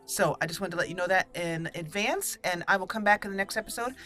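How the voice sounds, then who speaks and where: Low-pitched woman narrating a game. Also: fast talker, sharp tone, faint music behind. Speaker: gaming channel host. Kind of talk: roleplay let's play commentary, reading character dialogue in between.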